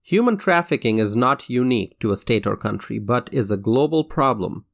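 A man from Bangladesh hosting a solo talk show, speaking in an earnest, measured way with occasional restarts. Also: mid-range voice, animated, gravelly. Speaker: podcast host. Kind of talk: reflective solo commentary.

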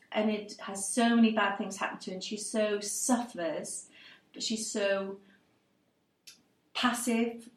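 A woman's voice: mid-range voice, darkish tone, roomy sound, distant mic, poor audio. A woman storyteller from Cardiff, answering interview questions thoughtfully and hesitantly, with many restarts. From Wales, Cardiff.

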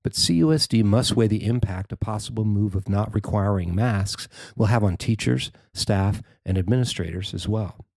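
A man's voice: fairly low pitch, moderate pace, gravelly, few pauses, a little mellow, no echo. An American man reading a scripted news report as a reporter. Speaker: reporter reading own written article.

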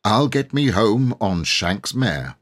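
A man's voice: lowish voice, sing-song, slow, fairly bright tone, heavily acted. A man voicing a good-natured country gamekeeper, colloquial, protective and matter-of-fact, in a storybook reading. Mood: friendly.

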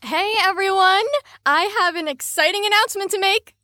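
A woman's voice: very high voice, moderate pace, sharp tone, no room sound. A woman playing a chipper but firm mental facility staffer in an audio drama, with a perky, authoritative manner.

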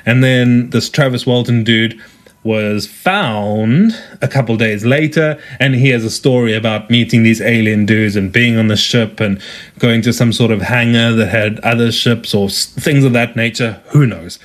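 A man's voice: mid-range voice, steady tone. Chatty South African man hosting a podcast. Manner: chatty, joking, quick asides with laughs. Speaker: podcast host. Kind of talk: casual solo podcast talk.

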